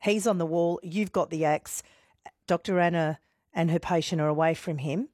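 A woman hosting a radio interview, calmly and gently recapping events for listeners. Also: lowish voice, normal speed, no room sound, studio quality. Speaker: radio host.

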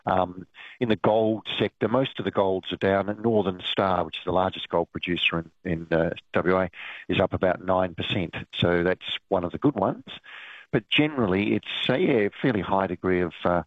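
A man with a cold, a little croaky, giving a conversational market update with frequent fillers. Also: low-pitched, moderate pace, no echo, dull audio. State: has a cold, a bit croaky. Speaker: market analyst.

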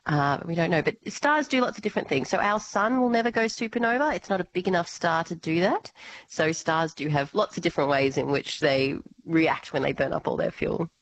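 A woman's voice: lowish voice, animated, a little fast, dry recording, low-quality recording. A woman explaining science in a bubbly, laughing radio chat.

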